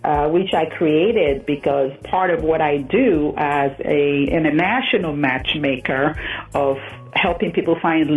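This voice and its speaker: Low-voiced woman matchmaker on a phone line. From Nigeria. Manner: chatty, laughs often. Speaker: guest, international matchmaker. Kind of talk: radio interview.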